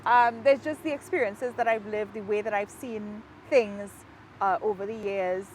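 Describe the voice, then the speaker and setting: A woman from Trinidad and Tobago with a Trinidadian accent, an author talking about her book in an outdoor interview in a park, reflective and quick to laugh. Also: mid-range voice, fairly even intonation, very noisy. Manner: warm, reflective, laughs easily. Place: outdoors in a park, rain falling.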